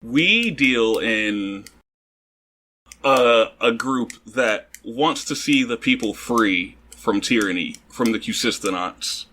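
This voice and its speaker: A man playing a glib, streetwise go-between in a tabletop game, making a persuasive but halting pitch. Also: animated, bright, forceful tone, heavily acted. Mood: earnest, persuasive. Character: glib, streetwise male go-between vouching for his patron.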